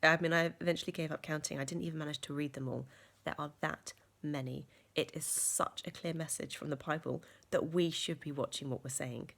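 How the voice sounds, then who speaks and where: A woman, croaky from a sore chest, talking earnestly in a reflective vlog with many ums and restarts. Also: sits low, fairly even intonation, brisk, a bit bright. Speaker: vlogger.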